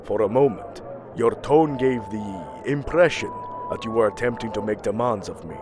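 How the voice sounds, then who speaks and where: A man's voice: fairly low pitch, moderate pace, background noise, lightly acted. A man playing a proud, commanding king of the gods in an audio drama, with a regal, formal and imperious manner. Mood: cold, threatening.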